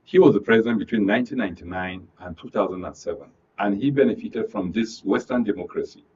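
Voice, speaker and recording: A man's voice: fairly low pitch, fast talker, a little mellow, slight background noise. A man with a Nigerian accent giving political commentary as a programme guest, emphatic and rhetorical. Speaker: studio guest, public affairs commentator. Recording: dull lo-fi sound, distant from mic.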